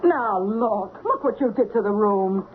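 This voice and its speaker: A woman's voice: medium pitch, unhurried, close to an everyday voice. Woman playing a scolding householder, crackly radio. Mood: exasperated. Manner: scolding, curt. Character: exasperated, grumbling woman householder. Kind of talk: old-time radio drama acting. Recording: tinny, noisy old radio recording.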